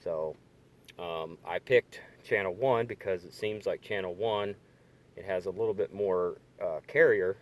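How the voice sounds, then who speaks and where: Low-voiced man, halting casual demo. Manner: tentative, hedging, halting. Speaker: hobbyist demonstrating radio gear. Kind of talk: casual hands-on demonstration. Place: inside a parked car. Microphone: handheld camera or phone.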